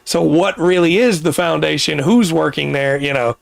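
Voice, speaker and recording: A man hosting a casual podcast, explaining things at length with pauses and restarts, his voice getting worn. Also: a little high, vocal fry, no room sound. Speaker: podcast host.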